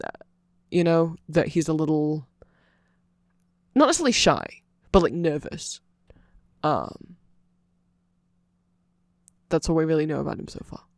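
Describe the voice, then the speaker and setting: A man's voice: on the high side, vocal fry, darkish tone, dry recording, studio quality. A man voicing a nerdy vampire who gives a rambling, earnest and fannish ASMR explanation full of fillers. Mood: eager, chatty.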